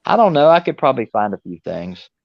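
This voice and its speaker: A man's voice: medium pitch, big pitch swings, quick pace, vocal fry, dry recording, lo-fi sound. A man joining a casual livestream chat, wry and sarcastic, swearing casually.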